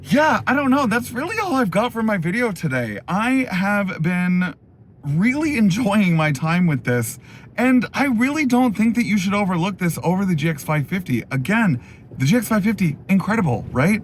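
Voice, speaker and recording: A man hosting a casual, chatty car review in an animated, opinionated, enthusiastic way, his voice going a little hoarse. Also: high-pitched, moderate pace, slight background noise. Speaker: car review video host.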